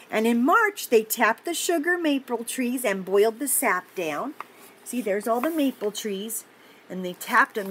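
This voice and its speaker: An older woman with a fairly high voice reads a children's story aloud. She is gentle and encouraging, slipping in chatty asides and questions for little listeners. Noticeable background noise runs behind her in the room. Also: low-quality recording. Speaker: children's story reader and host. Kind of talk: picture-book read-aloud with chatty asides to young children. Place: indoor home office.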